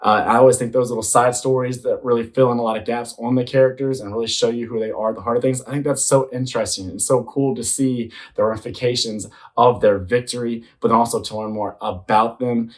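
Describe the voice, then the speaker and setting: A man giving casual, slangy commentary as an enthusiastic host reacting to a movie trailer. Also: mid-range voice. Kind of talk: excited casual commentary to viewers.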